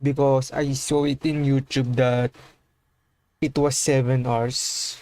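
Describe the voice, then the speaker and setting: A man chatting casually on a game livestream in a halting way, often repeating himself. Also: mid-range voice, little pitch movement, mellow. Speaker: livestreamer playing a video game.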